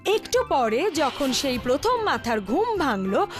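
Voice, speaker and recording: A woman's voice: sits high, big pitch swings, slow pace. A woman narrating a scripted children's story. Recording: faint music behind the voice.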